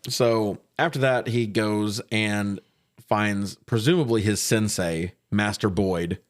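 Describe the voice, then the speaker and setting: A man with a fairly low voice talks through a film as a podcast co-host. His style is casual and slangy, peppered with profanity. He tells it like a story, acting out the quoted lines as he goes. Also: steady tone, slow pace. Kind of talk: casual movie recap banter.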